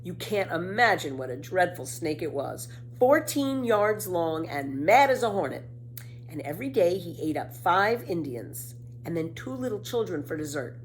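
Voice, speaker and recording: A woman's voice: lowish voice, a little hiss or hum, low-quality recording. A woman voicing a cheeky, boastful young girl who spins tall tales in a children's storybook, with breezy, emphatic delivery. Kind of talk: children's storybook read aloud.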